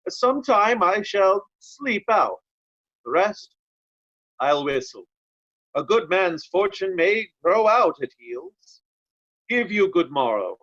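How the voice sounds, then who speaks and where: An actor playing a weary, loyal, stubborn nobleman in a Shakespeare read-through, speaking halting verse. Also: high-pitched, sing-song, a bit bright, dry recording, lo-fi sound, full character voice. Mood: weary, stoic.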